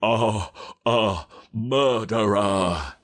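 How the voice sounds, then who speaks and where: A man voicing a grotesque, murderous janitor in a storybook, with a raspy voice and a menacing, furious manner. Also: lowish voice, pitched below the performer's own voice. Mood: dying, accusing. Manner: slow, menacing delivery.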